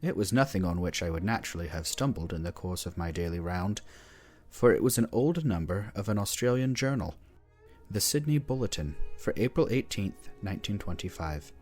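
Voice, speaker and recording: A man reading a horror story aloud in a measured, grave manner. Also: deep voice, lively intonation, a little mellow, faint music behind. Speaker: narrator.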